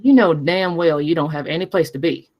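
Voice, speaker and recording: A woman's voice: sits low, brisk, lo-fi sound. A woman playing a brash, foul-mouthed young mechanic in a screenplay table read, casual, sarcastic and blunt. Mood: casual, insistent.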